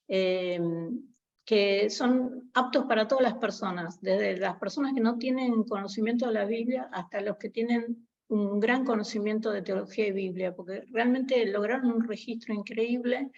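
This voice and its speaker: A woman giving an online theology class, speaking haltingly with many fillers. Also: mid-range voice, dull audio. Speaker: guest lecturer.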